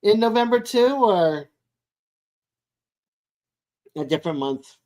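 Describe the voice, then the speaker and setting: A woman's voice: mid-range voice, animated, takes it slowly, mellow. Older lady in her 60s just chatting on a livestream, takes her time, rambles a bit. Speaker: live stream host. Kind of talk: casual chat with live viewers.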